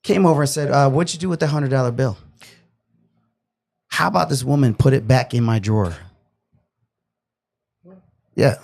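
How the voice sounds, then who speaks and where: A young man from New York telling a profane, animated story in a casual podcast chat. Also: medium pitch, normal speed, creaky, pauses often. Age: 20s.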